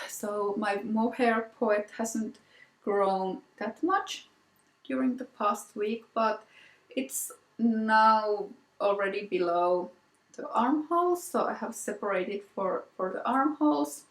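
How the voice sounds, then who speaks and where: A woman with a Finnish accent, a native Finnish speaker, hosting a casual knitting vlog, soft-spoken with frequent ums and repeated words. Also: medium pitch, slow pace, roomy sound, poor audio. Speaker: knitting podcast host. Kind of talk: casual show-and-tell vlog.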